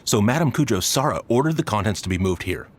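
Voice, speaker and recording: A man's voice: fairly low pitch, lively intonation, fast, slightly rough. A man playing a dutiful, apologetic guard in an anime game, with a hesitant, polite manner. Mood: flustered. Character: apologetic, dutiful male warehouse guard, slightly flustered.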